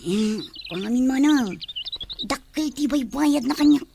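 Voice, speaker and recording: A woman's voice: high voice, a little slow, vocal fry, warm tone, slight background noise. A woman with an Ilocano accent playing a teasing, easygoing household helper in a radio drama. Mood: secretive.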